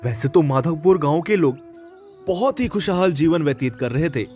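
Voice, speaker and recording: A man's voice: a little high, lively intonation, moderate pace, darkish tone, faint music behind. A man narrating a cartoon story in a dramatic, storytelling manner. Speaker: narrator and character voices.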